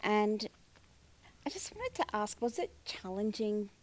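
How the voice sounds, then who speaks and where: A woman's voice: mid-range voice, sing-song, a little slow. A woman interviewing a guest in a conversational style. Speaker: library interviewer.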